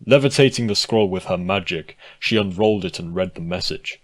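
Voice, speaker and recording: A man's voice: low-pitched, brisk. A man reading a scripted story aloud as its narrator. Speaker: fan fiction narrator.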